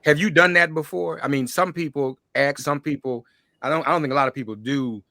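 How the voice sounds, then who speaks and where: A man's voice: fairly high pitch, brisk, pushed and bright, no echo, studio quality. A man hosting a conversational panel interview, putting questions to his guests. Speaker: interviewer, host.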